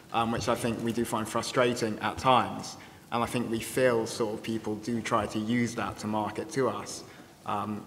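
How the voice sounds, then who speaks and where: A 23-year-old man on a panel, speaking in a measured way with some ums. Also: fairly low pitch, a bit bright. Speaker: sound engineer panelist. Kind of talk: live panel discussion. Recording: hall reverb with faint noise.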